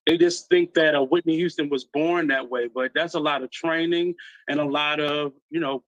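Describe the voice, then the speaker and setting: A man's voice: on the high side, fairly even intonation, moderate pace, no room sound, muffled recording. A man hosting a radio interview, affirming and asking questions. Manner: affirming, frequent "mm-hmm" backchannels. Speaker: interview host.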